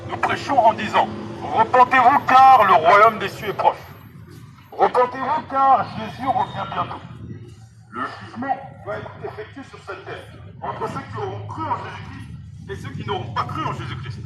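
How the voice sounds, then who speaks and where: A man's voice: sits high, moderate pace. A man preaching fervently through a megaphone, urging passers-by directly with emphatic, repeated phrases. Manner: exhortatory, emphatic, repetitive, addressing passers-by directly. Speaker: street evangelist. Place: outdoors on a street. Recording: noisy outdoor street recording with vehicles.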